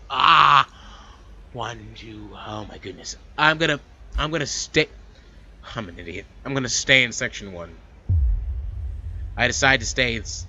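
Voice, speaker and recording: A man's voice: medium pitch, bright, forceful tone, muffled recording, slight background noise. A man hosting a let's play, reading a visual novel aloud and adding casual commentary. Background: game music.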